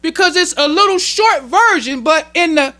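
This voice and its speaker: An American man delivers a sermon in a very high-pitched, piercing voice. He teaches emphatically in plain colloquial speech, driving points home by repetition. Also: lively intonation, moderate pace, pushed and bright. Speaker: minister teaching at a temple meeting. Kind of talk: religious sermon, explaining teachings.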